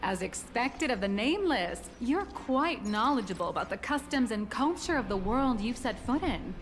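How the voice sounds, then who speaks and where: High-voiced, polished corporate tour guide played by an actress. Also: female voice, expressive, moderate pace, soft background music, natural voice. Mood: polished, proud. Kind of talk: scripted anime game voice acting.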